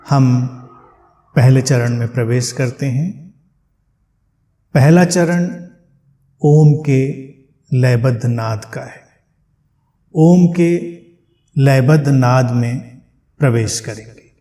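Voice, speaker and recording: A man teaches meditation in a very slow, relaxed voice. His pitch sits in a middle range for a man. He gives gentle instructions in short phrases, leaving plenty of space between them. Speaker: meditation teacher leading a guided session.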